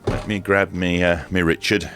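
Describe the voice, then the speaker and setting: A man's voice: deep voice, little pitch movement, moderate pace, fairly bright tone, faint music behind. A British man giving casual, informal hands-on commentary as he presents a product review. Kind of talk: casual unboxing and demonstration commentary.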